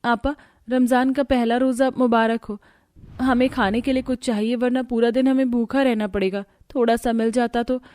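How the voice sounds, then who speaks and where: A woman playing a gentle, pleading young daughter-in-law. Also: on the high side, steady tone, moderate pace, no room sound, studio quality, natural voice. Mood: worried, polite. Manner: polite, pleading. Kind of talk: Hindi cartoon story acting.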